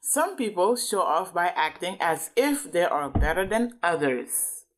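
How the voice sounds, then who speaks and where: Female read-aloud teacher, lower register, patient and explanatory, checks understanding often. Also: big pitch swings, measured pace. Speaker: adult reading a book with a child.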